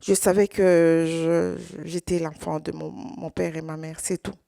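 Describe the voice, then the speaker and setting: Halting woman with a gravelly voice. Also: low-pitched, little pitch movement, dark tone, no room sound, clean studio sound. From Congo. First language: Swahili. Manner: halting, with many repeated words and restarts. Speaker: survivor giving testimony. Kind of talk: personal testimony at a conference.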